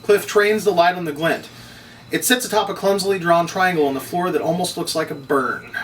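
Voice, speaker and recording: A man's voice: high-pitched, fairly quick. A man narrating stage directions as he reads from a script at a table read. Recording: distant mic, noisy room.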